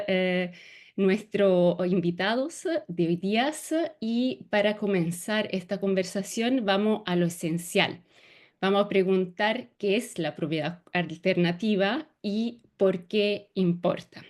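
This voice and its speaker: A woman's voice: medium pitch, a little mellow. Woman moderator reading a guest's bio. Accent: Latin American Spanish. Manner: reads a formal guest bio, light fillers. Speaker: webinar moderator. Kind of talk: scripted introduction.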